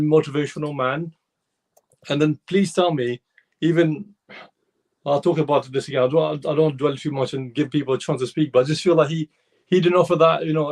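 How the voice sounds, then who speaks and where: A man's voice: fairly high pitch, darkish tone. Heated, rambling football fan man. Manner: heated, rambling with many restarts. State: slightly hoarse voice. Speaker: fan show co-host. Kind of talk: casual football fan discussion.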